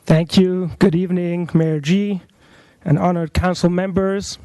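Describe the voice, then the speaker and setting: A man with a high, mellow voice makes an earnest, measured appeal at a council meeting. He speaks as a rabbi into a podium microphone, and the sound is close and dry. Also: little pitch movement, dry recording. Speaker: rabbi, public commenter. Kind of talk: public comment at a council meeting. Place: council chamber.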